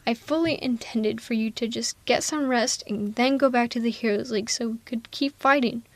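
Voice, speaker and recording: A woman's voice: fairly high pitch, moderate pace, no echo, close to an everyday voice. A woman playing a sardonic but protective villainess in an intimate ASMR audio roleplay, coaxing one listener in a wry, persuasive way. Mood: persuasive, concerned.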